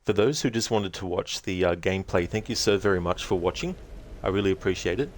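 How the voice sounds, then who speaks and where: A man's voice: low voice, fairly quick, slight background noise. A man chatting casually to viewers as a game streamer on a livestream.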